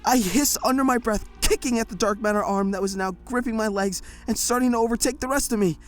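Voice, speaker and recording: A woman's voice: fairly high pitch, fast talker, soft background music. A woman narrating a first-person audio drama in a tense, breathless storytelling manner.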